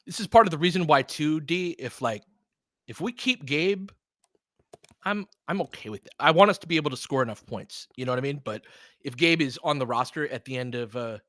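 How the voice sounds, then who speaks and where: A man's voice: on the high side, brisk, a bit bright, no room sound, clean studio sound. A man giving casual fan commentary and basketball analysis in an informal, conversational way, often checking for agreement.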